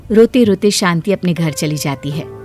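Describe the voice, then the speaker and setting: A woman's voice: on the low side, animated, moderate pace, a little mellow, soft background music. A woman narrating a scripted children's story as its storyteller.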